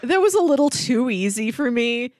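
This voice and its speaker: A woman's voice: fairly high pitch, big pitch swings, moderate pace, darkish tone, no room sound, studio quality. A woman co-hosting a podcast, talking casually and conversationally about stories she has read and watched. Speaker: podcast co-host. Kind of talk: casual comic and film discussion.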